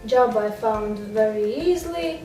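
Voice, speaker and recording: A woman's voice: on the high side, expressive, measured pace, mellow. A woman from Latvia, answering hesitantly in English. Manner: hesitant, non-native phrasing. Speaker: interviewee. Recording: echoey room, distant mic, faint music.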